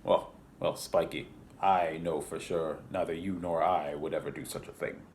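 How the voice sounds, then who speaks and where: Prim, low-voiced man reading to children. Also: fairly even intonation, normal speed, a little mellow, a little hiss or hum. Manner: exaggeratedly prim, polite, theatrical. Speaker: library storyteller and host. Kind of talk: children's picture book read-aloud with asides.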